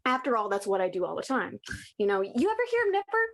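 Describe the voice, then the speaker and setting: A woman's voice: medium pitch, wildly swooping pitch, fast. A woman playing a cynical old demon in a theatrical monologue, speaking in a casual, sardonic way. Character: cynical, jaded old demon who scorns human hypocrisy.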